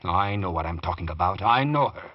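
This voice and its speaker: A deep, brooding manservant in a vintage radio thriller. He is formally servile with bitterness underneath, on a dull, muffled old recording. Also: lively intonation, brisk. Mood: bitter, ominous. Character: deferential, brooding male valet, secretive and bitter. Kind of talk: 1940s radio drama acting.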